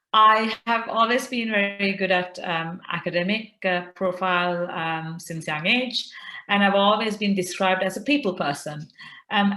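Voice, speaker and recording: Earnest, halting woman in an echoey room. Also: mid-range voice, a bit bright. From Sri Lanka. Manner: hesitant, many fillers, earnest. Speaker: consultant obstetrician and gynaecologist. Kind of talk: interview answers to camera.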